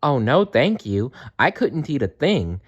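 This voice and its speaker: A man voicing a tiny female elf in a storybook, earnest, wide-eyed and excitable. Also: medium pitch, a little slow, husky, lo-fi sound, voice pushed up. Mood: excited.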